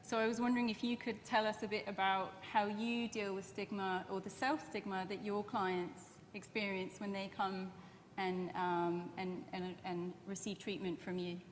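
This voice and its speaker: A woman's voice: medium pitch, flat delivery, normal speed. A woman moderating a conference panel, asking polite, winding questions. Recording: reverberant hall with faint noise.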